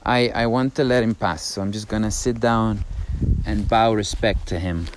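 A man from Italy with an Italian accent, talking as he walks down a mountain trail, halting and musing, sometimes dropping to a hushed, awed voice. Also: on the low side, a little hiss or hum. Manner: musing, halting, drifts between hushed awe and excited chatter. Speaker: podcast host. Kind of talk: casual walking monologue.

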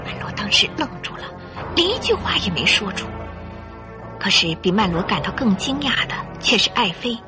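Female narrator for radio drama, lively swinging intonation, bright tone, suspenseful delivery, music underneath. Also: medium pitch, moderate pace. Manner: theatrical, suspenseful, clearly articulated.

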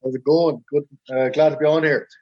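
A man's voice: a little high, steady tone, a little fast. A young Irish man in his twenties chatting casually and ramblingly as a podcast guest. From Ireland. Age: 20s. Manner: rambling, informal. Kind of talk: casual personal chat. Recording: slightly dull, lo-fi audio.

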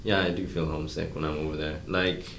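A man talking in a relaxed, thoughtful way, often trailing off. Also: a bass voice, fast, vocal fry, roomy sound, noisy background. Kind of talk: casual chat.

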